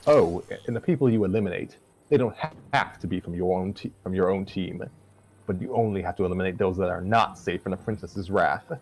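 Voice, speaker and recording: Man voicing a husky cartoon trickster announcer. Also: low voice, a little fast, a little mellow. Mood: explaining. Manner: expository, announcing, stumbling over words. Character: male trickster creature, smug cartoon host explaining contest rules.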